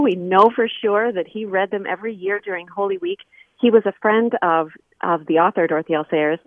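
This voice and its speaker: A woman's voice: mid-range voice, no echo, phone-quality sound. Woman guest on a phone-sounding line, dry, casually explaining stuff in an interview. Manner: conversational, explanatory, informal. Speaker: guest, scholar and editor.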